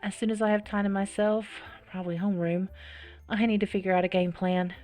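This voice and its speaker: A woman plays through a visual novel and reads it aloud. Her delivery is expressive and dramatic, breaking into her own reactions, with faint game music behind her. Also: medium pitch, brisk. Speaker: video game let's-player.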